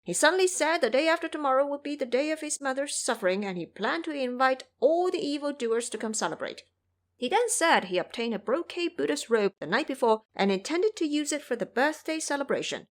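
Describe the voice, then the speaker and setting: A woman's voice: high-pitched, sing-song, brisk, pitched above the performer's own voice. A woman voicing a boastful, protective male monkey disciple in an audio drama, with a swaggering, emphatic delivery. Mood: boastful reporting.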